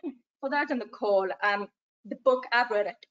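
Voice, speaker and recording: A woman's voice: fairly high pitch, normal speed, fairly bright tone. A woman hosting a video call interview, chiming in with enthusiastic interjections. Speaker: host. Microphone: video call webcam. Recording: dull distant video call audio.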